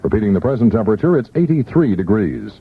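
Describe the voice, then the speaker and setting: A man reading a scripted news and weather bulletin in a formal, brisk anchor style on old radio. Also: lowish voice, very animated, husky. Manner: brisk, formal newsreader delivery. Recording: muffled vintage AM radio broadcast.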